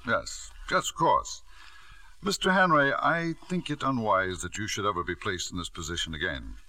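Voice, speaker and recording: An actor playing a ruthless self-made millionaire father, narrating and acting in a 1940s radio drama with a crisp, sardonic delivery. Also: on the low side, expressive, moderate pace, pushed and bright, no echo. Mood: cool menace. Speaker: narrator and lead character. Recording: dry old radio broadcast.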